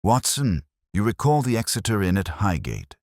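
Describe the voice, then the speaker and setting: A man voicing a precise, cool, watchful male detective in an audiobook story, speaking in a measured, analytical way. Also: fairly low pitch, wildly swooping pitch, darkish tone, no echo, clean studio sound, pitched above the performer's own voice. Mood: quietly grave.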